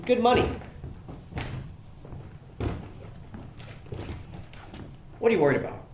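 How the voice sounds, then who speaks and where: Man playing smooth shady crime boss, echoey stage. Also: high voice, sing-song, very fast. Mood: coaxing. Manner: coaxing, evasive, rhetorical. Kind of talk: stage acting in a one-act play. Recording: echoey room, clear background noise.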